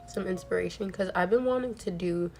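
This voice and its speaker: A woman's voice: fairly low pitch, brisk, a little mellow. A young woman chatting casually while crafting on a stream, rambling with lots of "like" fillers, her voice a little off from a recent cold. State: voice a bit off from a cold. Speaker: crochet streamer. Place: home crafting setup. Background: faint music.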